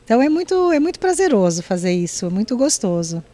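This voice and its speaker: A woman's voice: a little high, sing-song, fast talker, dark tone. A Brazilian woman organizing events, talking enthusiastically and invitingly into a handheld mic. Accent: Brazilian Portuguese. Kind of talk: casual interview chat. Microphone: handheld microphone.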